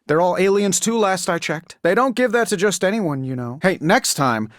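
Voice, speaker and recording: Cocky American genius superhero for a video game: glib, sarcastic, very animated pitch, dry close recording. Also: male voice, moderate pace, no echo. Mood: sarcastic banter. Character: cocky, wisecracking adult male billionaire inventor superhero. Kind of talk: scripted video game voice acting.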